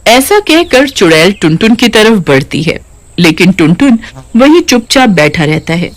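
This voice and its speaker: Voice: medium pitch, sing-song, unhurried. A narrator reading a scripted cartoon story.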